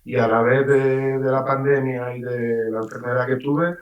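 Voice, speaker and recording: Middle-aged Valencian-man, a novelist on radio, mid-pitched, lively teller of anecdotes, heard back in a roomy space. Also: moderate pace, far from the mic, dull audio. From Valencia region, Spain. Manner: lively storyteller, acts out voices in quotes. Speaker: writer being interviewed. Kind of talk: casual radio interview. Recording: roomy, off-mic radio sound.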